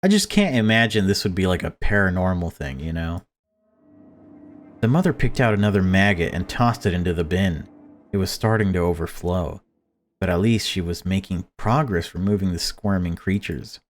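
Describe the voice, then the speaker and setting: A man's voice: low-pitched, lively intonation, normal speed. A man hosting a playthrough, reading story narration aloud and adding casual commentary. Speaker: let's play host. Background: game music.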